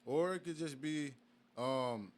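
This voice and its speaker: A man's voice: fairly high pitch, takes it slowly. A young man from Virginia, a guest artist in a casual podcast interview, speaking in a laid-back way with many fillers and restarts. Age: young adult.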